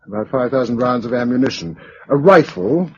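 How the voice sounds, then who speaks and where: Calm English survivor, dull old recording. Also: male voice, mid-range voice, breathy edge. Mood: matter-of-fact. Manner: measured, matter-of-fact. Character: calm, practical man surviving a catastrophe, protective of his companion. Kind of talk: vintage BBC radio drama acting.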